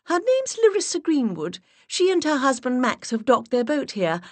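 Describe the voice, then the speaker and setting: A woman's voice: sing-song, moderate pace, no echo. Woman putting on a giggly, gushing English flirt. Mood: gushing, flirty. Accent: English, exaggerated. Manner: high, breathy, fluttery and effusive.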